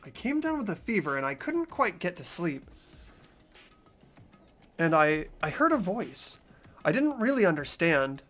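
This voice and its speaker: A performer voicing an anxious, bewildered high school boy, reading visual novel narration in an earnest, dramatic, hesitant way. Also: very animated, unhurried, long pauses, a bit bright. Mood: puzzled, anxious. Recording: background music behind voice.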